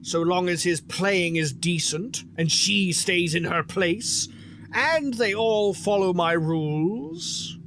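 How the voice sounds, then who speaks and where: A man's voice: sits high, slow pace, a bit bright, slight background noise, heavily acted. A man playing a sinister, clawed phantom monster in an audio drama, speaking in a theatrical, sardonic and menacing way. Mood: sardonic menace.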